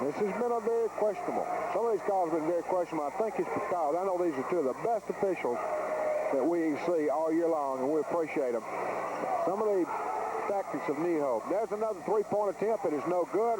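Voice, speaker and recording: A man doing live, partisan radio play-by-play of a basketball game in an exclamatory way. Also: high-pitched. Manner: partisan, exclamatory, indignant at officiating. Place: high school gymnasium. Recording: noisy 1980s radio broadcast on VHS.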